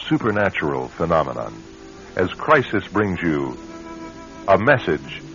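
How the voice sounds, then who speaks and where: A man hosting and narrating a suspense radio show in a theatrical manner with dramatic pauses. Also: low-pitched, sing-song, vocal fry, a bit bright, faint music behind. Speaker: host and narrator. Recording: dull vintage radio recording.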